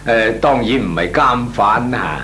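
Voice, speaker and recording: A man's voice: mid-range voice, a little slow, bright, forceful tone. An actor playing a talkative, cautious small businessman in a Cantonese comic radio drama, hemming and chuckling as he talks. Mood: bashful, wary. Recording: clearly noisy, humming radio recording.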